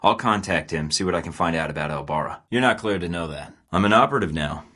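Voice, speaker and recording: A man's voice: deep voice, quick pace, sharp tone, slightly rough, heavily acted. An actor playing a terse, dry-witted male spy agent in game voice lines. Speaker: video game character.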